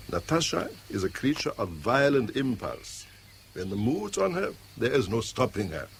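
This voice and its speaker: Suave, gravelly evasive man. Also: medium pitch, big pitch swings, unhurried. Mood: coolly apologetic. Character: suave, evasive wealthy man, coolly apologetic. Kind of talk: 1970s British radio drama acting. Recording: faintly hissy vintage radio drama recording.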